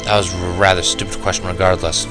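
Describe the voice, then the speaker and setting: A man narrating a first-person fan fiction story in an expressive, wry, dramatic manner. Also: low-pitched, fast talker, over music. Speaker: audiobook narrator.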